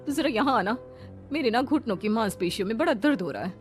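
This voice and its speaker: A bossy older mother-in-law from a cartoon, played by a woman, clingy and quick to quarrel. Her voice is fairly high, with music underneath. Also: lively intonation, natural voice. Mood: cajoling. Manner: bossy, cajoling.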